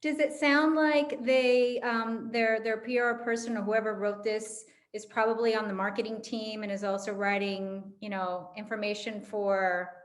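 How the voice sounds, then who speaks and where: A woman's voice: medium pitch, flat delivery, moderate pace, few pauses. A woman moderating a panel conversationally, encouraging speakers and summing up what they say.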